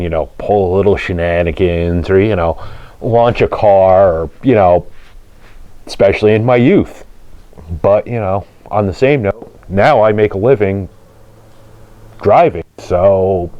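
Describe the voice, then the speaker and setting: A man's voice: lowish voice, highs cut off. A man hosting a vlog, casually telling stories and explaining things in plain, colloquial speech. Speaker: host of a car channel, drag racer. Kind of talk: casual storytelling and explaining to viewers.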